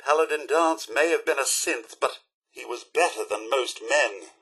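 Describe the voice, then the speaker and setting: Posh-mannered british robot butler from a video game, prim and easily scandalised, sharp slightly raspy voice. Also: male voice, medium pitch, normal speed, rough recording. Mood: earnest, sombre. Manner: formal, prim, theatrical, dryly droll. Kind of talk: scripted video game voice acting.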